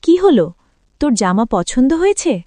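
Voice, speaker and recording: Swooping, high-voiced fretful cartoon mother. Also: big pitch swings, measured pace, warm tone, no room sound, studio quality. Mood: disapproving. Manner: worried, scolding. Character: careworn, practical, fretful mother of a poor family. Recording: background music under the voice.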